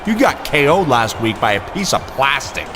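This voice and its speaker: Animated mocking wrestler voiced by a man. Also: medium pitch, normal speed, noisy background, close to an everyday voice. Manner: teasing, sarcastic. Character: mocking, needling male wrestling character. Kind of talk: video game cutscene dialogue. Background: music.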